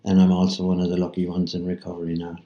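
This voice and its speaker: A man's voice: low-pitched, monotone, racing, a crowd in the background. A man in his sixties from Ireland with an Irish accent, reflecting unhurriedly as a guest in a casual radio interview, with many fillers. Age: 60s. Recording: roomy, dull lo-fi sound.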